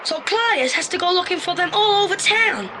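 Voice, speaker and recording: An actor playing a keen, excitable boy detective's sidekick in an audio play, talking in an eager colloquial way. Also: very high-pitched, a little fast, background noise. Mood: eager, curious. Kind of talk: 1980s audio play acting.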